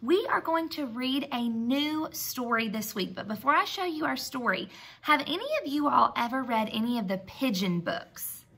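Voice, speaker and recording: A woman teacher giving an expressive read-aloud lesson for young children, using simple words and prompting with questions. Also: high voice, moderate pace, slightly rough.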